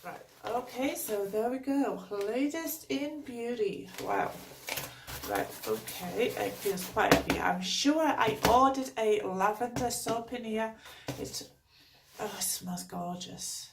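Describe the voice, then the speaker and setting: A woman from the North East of England speaks in a Geordie accent, her voice croaky and gravelly from a cold. She rambles in slow, unhurried talk full of fillers. The recording picks her up from a little distance, with faint noise behind her. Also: on the high side, expressive. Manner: chatty, rambling, lots of fillers. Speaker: beauty vlogger. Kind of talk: casual unboxing chat. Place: home.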